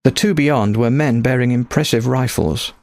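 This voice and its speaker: A man narrates fiction in a medium-pitched voice. The recording is dry and close, in the manner of an audiobook. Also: normal speed, a little mellow, dry recording. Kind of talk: scripted story narration.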